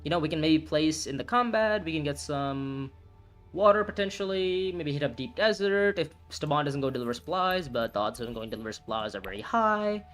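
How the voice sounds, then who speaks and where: A young man in his twenties calls out his own game live, and his voice sits a little high and leaps around as he reacts. He reasons through each move aloud and blurts out curses when a turn goes wrong. Soft music plays faintly underneath. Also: brisk. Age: 20s. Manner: excitable, talks through moves, reacts loudly, swears. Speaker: streamer playing a ranked board game. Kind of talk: live gameplay commentary, thinking aloud.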